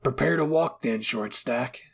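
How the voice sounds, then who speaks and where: Guy doing a cocky hot-tempered anime fighter, gruff n blunt, raspy gravelly voice. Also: mid-range voice, unhurried. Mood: gruff, teasing. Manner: blunt, dismissive. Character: gruff, smirking, hot-tempered young blond male fighter. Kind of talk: anime fan roleplay acting.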